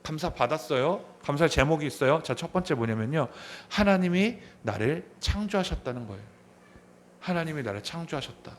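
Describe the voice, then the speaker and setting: A man's voice: a little high, animated, a little fast, a little hiss or hum. A man in his thirties preaching a sermon as a pastor, asking the congregation questions and repeating key phrases. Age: 30s. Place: church sanctuary.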